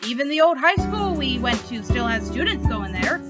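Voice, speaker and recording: A woman's voice: high-pitched, big pitch swings, normal speed, over music. A woman voicing a kindly, affable angel father in a storybook reading. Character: kindly, welcoming angel father of grown daughters.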